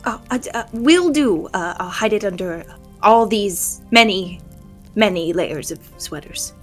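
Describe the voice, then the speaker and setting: A woman acts out an awkward male fantasy adventurer in a tabletop audio drama. He is earnest and timid, halting and stammering as he goes, at a middling pitch. Faint music plays behind him. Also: expressive. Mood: flustered, grateful.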